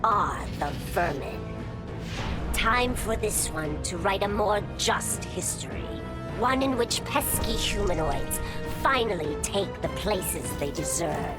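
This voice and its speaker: An actress playing an ancient keeper of time who speaks with cold, imperious scorn. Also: female voice, mid-range voice, expressive, slow, over loud music. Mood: scornful. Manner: imperious, contemptuous. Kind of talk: game cutscene voice acting.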